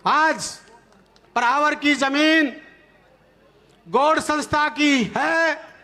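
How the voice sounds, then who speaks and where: A man with a Haryanvi accent addresses a political rally as a community leader. His voice is extremely high for a man, with a bright, tense, forceful edge. He speaks defiantly and emphatically, hammering phrases through repetition and rhetorical questions. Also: extremely slow. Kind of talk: fiery political rally speech.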